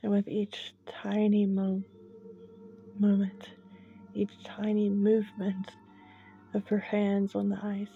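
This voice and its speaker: A woman narrating a dramatic story in a suspenseful, halting way, leaving long pauses between short phrases. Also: mid-range voice, completely flat delivery, vocal fry, highs cut off, soft background music. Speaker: storyteller, host.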